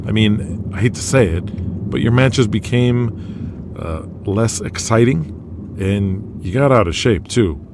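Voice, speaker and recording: A man's voice: lowish voice, lively intonation, measured pace, noisy background. A man voicing an earnest, nervous young wrestling fan who talks candidly in an audio drama. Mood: apologetic, frank.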